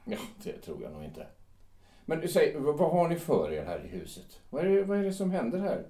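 An actor playing a devout, earnest male visitor who questions the couple gently and steadily in an audio drama. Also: fairly high pitch, wildly swooping pitch. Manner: measured and gentle, stammering when alarmed. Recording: roomy echo.

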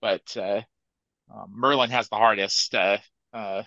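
A man in his thirties talks as a guest in an easygoing way, pausing often with fillers. His voice is low yet bright and a bit tense. The sound comes through a dull, lo-fi mic. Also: fairly even intonation, dry recording. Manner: easygoing, hesitant, many fillers. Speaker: software developer and coach guest. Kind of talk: casual panel chat.

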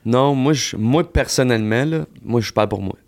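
A man with a Québécois French accent, a country singer talking as a podcast guest in a relaxed, slangy way full of fillers and the odd swear. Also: medium pitch, moderate pace. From Quebec. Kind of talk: casual podcast chat.

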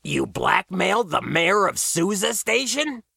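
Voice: medium pitch, sing-song, a little slow, vocal fry, sharp tone, husky, no room sound, studio quality, voice pushed up, full character voice. A storybook narrator voicing an earnest male diner owner who is blunt, questioning and easily astonished. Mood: incredulous.

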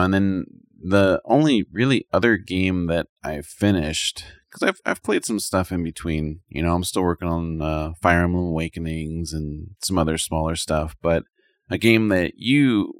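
Low-voiced man chatting casually. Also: no echo, clean studio sound. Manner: rambling, colloquial, peppered with "like". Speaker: podcast co-host. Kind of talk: casual chat about video games.

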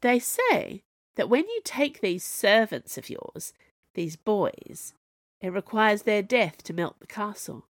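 A woman reading the part of a cool, wry young royal bodyguard who speaks with steady assurance. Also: medium pitch, takes it slowly, no room sound, studio quality, pitched above the performer's own voice. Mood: calm.

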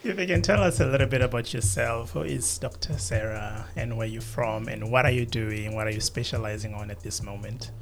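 A man's voice: mid-range voice, brisk, hardly any pauses, faint music behind. A young man hosting a podcast interview, chatty with hesitant phrasing. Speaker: podcast host.

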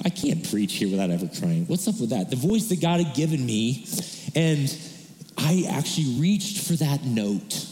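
A man preaching as a worship pastor, earnest and self-deprecating, with a loud voice, in a conversational sermon style. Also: medium pitch. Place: church sanctuary. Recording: echoey reverberant church hall.

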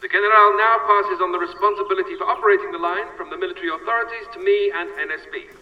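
A formal railway director played by a male actor speaks in a high, measured, deferential voice. It is an audio drama public address, heard from a distance with a long, slightly dull echo. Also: steady tone, natural voice. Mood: ceremonial. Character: formal Norwegian railway director translating for a general. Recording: distant, muffled, echoing public-address sound.

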